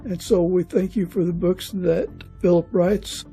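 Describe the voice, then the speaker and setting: High-pitched, slow-talking man, mellow and earnest. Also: steady tone, creaky, faint music behind. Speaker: podcast host. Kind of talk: spoken prayer closing an interview.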